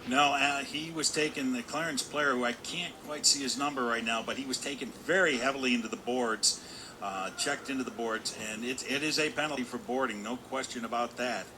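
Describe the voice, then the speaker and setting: A man's voice: medium pitch, a little fast. Male color commentator over rink noise. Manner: wordy, repeats himself for emphasis. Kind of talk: live sports analysis, conversational. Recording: constant loud arena background noise. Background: hockey arena ambience.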